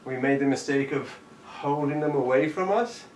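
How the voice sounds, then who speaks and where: A man's voice: mid-range voice, normal speed, darkish tone. Man coaching jujitsu in an echoey room. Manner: demonstrating moves while talking, counting out steps. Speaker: jujitsu instructor. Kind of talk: live online martial arts class. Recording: echoey room, distant mic, faint background noise.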